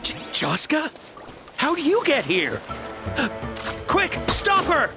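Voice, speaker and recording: A man's voice: extremely high pitch, huge pitch swings, slow, gravelly, full character voice. An actor playing a cowardly young henchman in a video game, stammering and eager to appease. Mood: panicked. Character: cowardly young male henchman poacher, jumpy and pleading. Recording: music under the voice. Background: game music.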